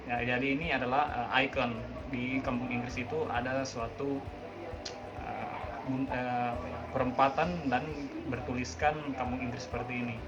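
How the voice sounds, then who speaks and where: A man hosting a casual vlog and online interview, friendly and chatty, often pausing with hesitations. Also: medium pitch, level tone, noisy background. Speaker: host. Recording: dull lo-fi sound with music behind.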